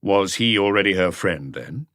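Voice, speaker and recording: A man voicing a calm, terse male chief inspector who questions witnesses in a detective audiobook. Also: deep voice, normal speed, no echo, studio quality. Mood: probing, businesslike.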